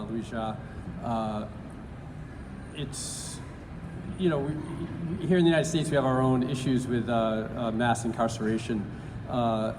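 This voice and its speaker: A man reads poems aloud at a slow, measured pace. His speech is hesitant and full of fillers between the pieces. His voice carries through a reverberant hall with steady background noise. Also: mid-range voice. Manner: halting with many fillers, then slow deliberate reading. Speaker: poetry reader. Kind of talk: live public poetry reading. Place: large event hall.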